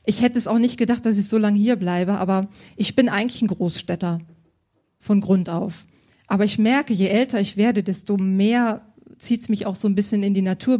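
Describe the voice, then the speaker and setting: A woman's voice: medium pitch, warm tone. A woman working as a style consultant, answering interview questions in an enthusiastic, colloquial way.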